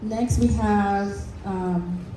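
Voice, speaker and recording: A woman's voice: mid-range voice, fairly even intonation, extremely slow, warm tone. A woman chairing a community board meeting, introducing speakers in a matter-of-fact way. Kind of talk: running a public meeting. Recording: echoey hall, noisy room.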